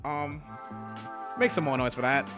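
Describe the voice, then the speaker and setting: A man emcees an open mic night in a small indoor venue, talking into a stage mic. He chats loosely, with quick apologetic asides between introductions. Music plays clearly behind him. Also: a little high, animated. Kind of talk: casual stage hosting.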